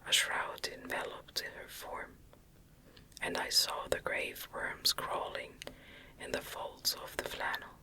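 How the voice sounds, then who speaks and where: An ASMR narrator reading gothic fiction aloud in a soft, breathy, unvoiced whisper, deliberate and close to the microphone. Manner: slow, deliberate, soft delivery.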